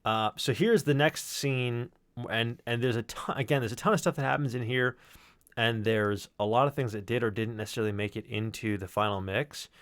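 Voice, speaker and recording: A man's voice: mid-range voice, steady tone, moderate pace, fairly bright tone, clear, resonant tone, dry recording. A man giving a casual, rambling walkthrough as a sound designer, with some swearing. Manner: rambling, explanatory, profane. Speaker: sound designer presenting his work.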